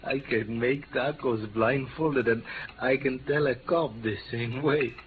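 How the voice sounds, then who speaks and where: A man's voice: medium pitch, steady tone, a little slow, lightly acted. A man playing a jovial, shrewd taco-stand owner with a Mexican accent and a chatty manner in radio drama. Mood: jovial, sly. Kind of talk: 1950s radio character acting. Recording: noisy, dull old radio recording.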